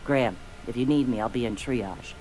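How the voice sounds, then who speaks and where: An actress voicing a blunt, practical, exasperated rebel medic in a video game, with a dry, sarcastic delivery. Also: female voice, extremely low pitch, a little fast, a little mellow, a little hiss or hum, low-quality recording. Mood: irritated, sarcastic. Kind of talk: video game dialogue acting.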